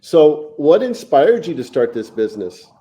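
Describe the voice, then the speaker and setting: A man with a soft-edged, mellow voice hosts a conversational interview. He sounds enthusiastic and affirming as he puts questions to his guest. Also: a little high, big pitch swings, moderate pace. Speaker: podcast host.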